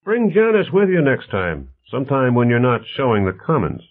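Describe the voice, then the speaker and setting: A man's voice: medium pitch, wildly swooping pitch, normal speed, pitched above the performer's own voice. A man voicing a genial, confident male executive in an audiobook, expansive, assured and hospitable. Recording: narrow phone-like band.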